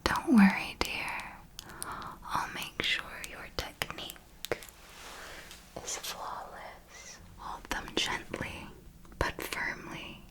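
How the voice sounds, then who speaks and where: Woman playing a slow, whispering vampire mentor. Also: low-quality recording. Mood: amused, menacing. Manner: slow, deliberate, hushed, doting yet menacing. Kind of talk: whispered ASMR roleplay.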